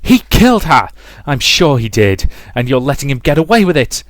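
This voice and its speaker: A man's voice: lowish voice, sing-song, a little slow, fairly bright tone, no echo. A man voicing a vengeful, hysterical dead mother who rants harshly in a dramatic story reading, with music behind him. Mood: screaming, accusing. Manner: harsh, accusing, ranting.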